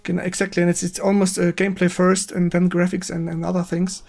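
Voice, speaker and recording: A man with a German accent hosting a casual game-stream interview in halting, repetitive English as he searches for words. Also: high voice, completely flat delivery, vocal fry, a little mellow, soft background music. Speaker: stream host.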